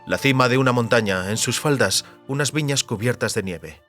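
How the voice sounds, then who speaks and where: A man's voice: mid-range voice, normal speed, a bit bright, soft background music. A man reading scripted literary narration as an audiobook narrator.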